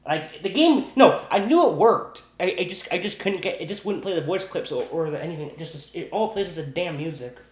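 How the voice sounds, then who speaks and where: Guy rambling about video games, slightly high voice, trips over his words a lot, echoey room. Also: expressive, a little fast, hardly any pauses. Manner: repetitive, stumbling, restarts sentences often. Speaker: gaming video creator. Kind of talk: rambling casual commentary on video game glitches. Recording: roomy, echoing home recording.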